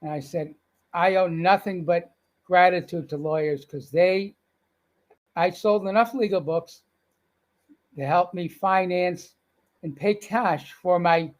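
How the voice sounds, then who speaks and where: An older man with a Boston accent, telling stories as a guest in a casual upbeat interview. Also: high-pitched. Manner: folksy, upbeat storyteller.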